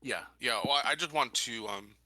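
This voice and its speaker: Very bright, halting male voice. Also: mid-range voice, dry recording. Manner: halting, tentative phrasing. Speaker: player in a roleplaying game. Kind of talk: improvised in-character tabletop play.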